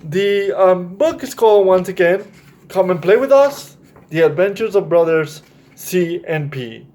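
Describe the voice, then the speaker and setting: A man's voice: high-pitched, expressive, unhurried, darkish tone. A man hosting storytime, talking directly to children as he explains and reads aloud, often checking in with them. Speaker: storytime host reading to children.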